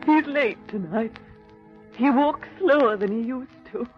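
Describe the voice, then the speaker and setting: A woman's voice: on the high side, unhurried, soft background music, close to an everyday voice. An actress playing a grieving, tender mother, mournful in an old radio drama. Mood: sorrowful. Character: grieving, tender mother, Bob Cratchit's wife. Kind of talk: 1930s radio drama acting. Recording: muffled 1930s radio broadcast.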